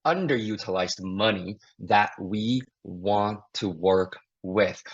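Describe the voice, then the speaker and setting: A man's voice: on the low side, husky. A man from Taiwan whose first language is Mandarin, hosting a live investing webinar over a video call in an upbeat, chatty, self-deprecating way. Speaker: investing mentor and webinar host. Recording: dull lo-fi video call audio.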